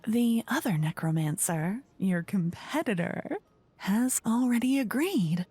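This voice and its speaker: A woman playing a playful, haughty, easily bored queen in a fantasy ASMR roleplay, soft-spoken and teasing. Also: medium pitch, expressive, measured pace, breathy edge. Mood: smug, delighted. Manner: theatrical, teasing, soft-spoken with whispery phrases. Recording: close dry ASMR microphone.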